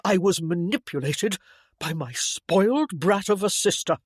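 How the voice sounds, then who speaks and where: A narrator takes on an earnest young gentleman from a period novel. He speaks formally and with emphasis, confessional and easily indignant. The pitch moves in a lively way, close and dry. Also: unhurried, husky, no echo. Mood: remorseful.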